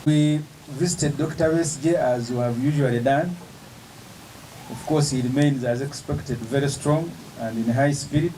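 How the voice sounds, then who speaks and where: A man, an opposition politician giving an emphatic, measured press statement with pauses between phrases. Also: mid-range voice, mellow, slight background noise, poor audio.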